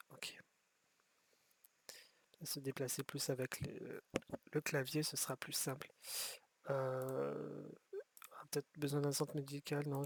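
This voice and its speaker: A man's voice: on the high side, vocal fry. A man giving rambling, casual commentary while playing a city-building game, often restarting his sentences and swearing offhand. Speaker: gameplay streamer.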